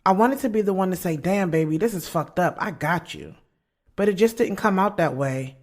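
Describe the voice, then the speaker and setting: A woman's voice: on the low side, normal speed, a little mellow, lightly acted. An actress with an American accent playing a blunt, sarcastic young woman writer, narrating her love life in a slangy, conversational audio drama style. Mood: reflective. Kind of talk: first-person scripted narration in an audio drama. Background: music under the voice.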